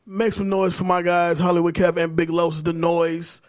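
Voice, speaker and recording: A man's voice: sits high, level tone, normal speed. A man of about thirty hosting a podcast with casual, slangy hype and some swearing. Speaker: podcast host.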